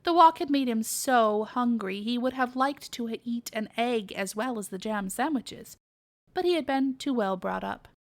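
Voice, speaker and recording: A woman's voice: on the high side, lively intonation, normal speed. A woman narrating a children's storybook as a read-aloud podcast reader.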